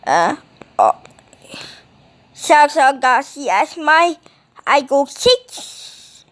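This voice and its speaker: High-pitched, slow, halting female voice. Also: very animated.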